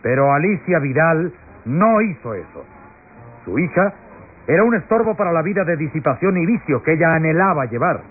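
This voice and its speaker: An actor playing a pompous, accusing prosecutor in a declamatory, solemn style, addressing a jury in a classic radio melodrama. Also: male voice, fairly high pitch, lively intonation, moderate pace, soft background music, close to an everyday voice. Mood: indignant, grave. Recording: tinny, noisy old radio recording.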